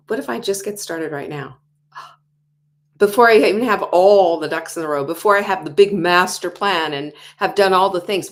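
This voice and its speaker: A woman in her sixties talks straight to her listeners as the host of a motivational show. Her voice sits a little low, and she brings her points to life by acting out people's doubts in their own voices. Now and then she drops to a whisper for emphasis. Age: 60s. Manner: animated, acts out quoted inner voices, drops to whispers. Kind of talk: motivational talk show monologue.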